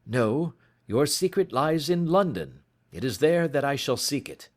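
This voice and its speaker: Man acting Victorian male detective, audiobook character, raised pitch, animated, brisk, emphatic, commanding, precise. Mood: concerned, decisive.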